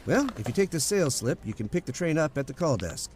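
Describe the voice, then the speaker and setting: Actor as lively, polite male salesclerk. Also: on the high side, fast talker, soft background music. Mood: helpful. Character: polite, helpful department store salesclerk. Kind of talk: old-time radio drama acting.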